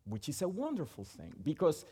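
A middle-aged man from Puerto Rico with a Puerto Rican accent, Spanish being his first language, preaching a sermon in a halting way with many restarts. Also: fairly high pitch, huge pitch swings, mellow, slightly rough, big-room sound.

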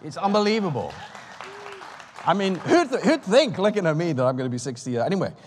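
A man's voice: high-pitched, big pitch swings, fast talker, some chatter behind, slight background noise. Man, 50s, preacher, chatty rambling asides, long reverberant hall. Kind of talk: sermon.

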